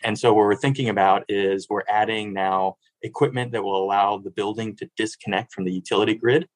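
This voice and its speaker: A man's voice: lowish voice, steady tone, brisk, no echo, poor audio. A man in his thirties from Miami, a city sustainability director answering interview questions in an earnest, fluent way with small restarts. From Miami, Florida.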